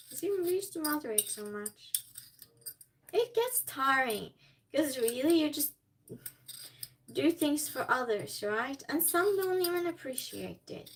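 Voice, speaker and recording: High-voiced woman chatting, non-native English speaker. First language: not English. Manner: rambling, reads viewer comments aloud and replies. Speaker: live streamer. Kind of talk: casual livestream chat while cooking. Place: indoors at home while cooking.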